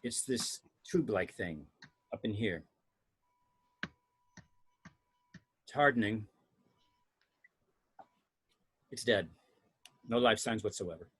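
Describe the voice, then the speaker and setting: A man reading a clinical, unruffled science officer in an amateur table read, measured and matter-of-fact. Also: lowish voice, animated, a bit bright, breathy edge.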